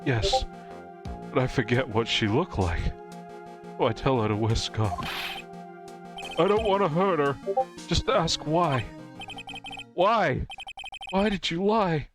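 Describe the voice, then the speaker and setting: Man voicing a slow, anguished convict in broken English. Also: fairly high pitch, expressive. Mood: anguished, wounded. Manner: halting, clipped broken grammar. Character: anguished betrayed male convict, broken English, haunted and simple. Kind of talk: live fan dub of a visual novel game. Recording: music under the voice.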